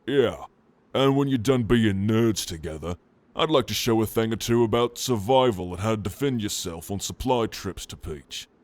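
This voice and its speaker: A man voicing a gruff, friendly orc warrior with an informal, blunt, teasing manner in an ASMR roleplay. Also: fairly low pitch, animated, moderate pace, a little mellow, no echo, natural voice.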